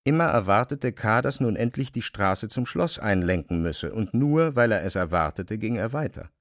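Low male narrator reading classic fiction, measured and deliberate, really dry studio sound. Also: animated, no room sound. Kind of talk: literary narration in a radio drama.

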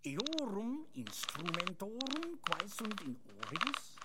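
A woman's voice: medium pitch, huge pitch swings, slow pace, heavy background noise. A woman performing a formal, declamatory recitation of ancient natural history for a radio piece. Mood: solemn, learned. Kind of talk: dramatic recitation of Latin scientific texts in a radio piece. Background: electronic techno music.

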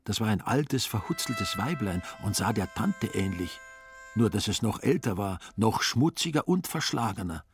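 A man's voice: fairly low pitch, expressive, moderate pace, faint music behind. A man delivering scripted, ironic literary narration as the storyteller of a radio play.

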